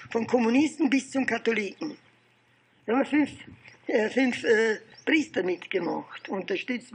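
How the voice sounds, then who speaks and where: An elderly man with an Austrian accent and a husky voice, telling his recollections informally in a halting, digressive way with repeated phrases. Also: high-pitched. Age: 70s or older. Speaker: interviewee recounting political and research past. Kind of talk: informal oral history storytelling.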